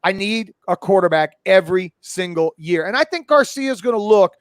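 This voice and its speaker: A man's voice: sits high, lively intonation, moderate pace, no room sound, clean studio sound. A man in his forties talking sports casually as a pundit, blunt and opinionated, swearing freely. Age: 40s. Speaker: college football pundit and podcast guest.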